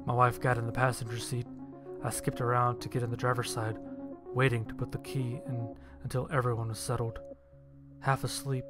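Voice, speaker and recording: A man reading a first-person horror story as a dramatic audio narrator. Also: medium pitch. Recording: music bed behind the voice.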